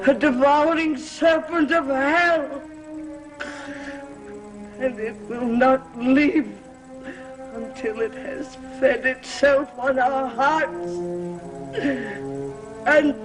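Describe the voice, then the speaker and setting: A fiercely devoted servant woman in a gothic soap opera. Her voice is very high and theatrical, with slow, ominous, declamatory phrasing. Music plays clearly behind her. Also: rough recording. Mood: ominous, defiant. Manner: slow, solemn, declamatory delivery.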